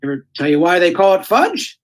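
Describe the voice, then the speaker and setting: A woman's voice: fairly low pitch, very animated, brisk. A woman playing a boastful, folksy middle-aged husband in an old-time radio comedy. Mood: proud, tall-tale telling. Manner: folksy, cocksure, tall-tale telling.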